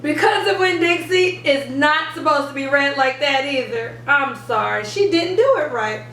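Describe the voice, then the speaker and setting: A woman hosting an instructional video for parents and teachers, speaking in an animated, emphatic, teacherly way. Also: high voice, moderate pace. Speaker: host of an education channel for parents and teachers. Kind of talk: upbeat instructional video to camera. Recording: roomy, distant mic, faint background noise.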